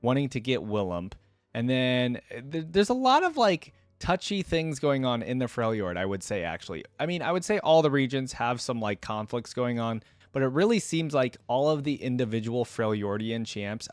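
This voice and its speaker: Guy doing reaction commentary, casual and rambly, medium pitch, no echo. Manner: rambling, thinking aloud. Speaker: reaction video host.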